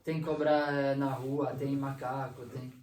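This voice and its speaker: A man's voice: medium pitch, little pitch movement, slow pace, over a noisy crowd. A young adult man from Italy with an Italian accent, speaking Portuguese as a second language as a guest in a casual interview. He talks with many fillers and restarts. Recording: echoey room, mic at a distance.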